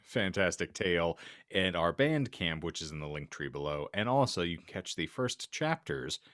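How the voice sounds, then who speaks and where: A deep-voiced man hosts a comedy podcast. His phrasing is florid and mock-formal, full of long words, and the recording is close and dry. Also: very animated, moderate pace, fairly bright tone, dry recording. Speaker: podcast co-host and reader of fan fiction. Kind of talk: comedic podcast banter and introduction.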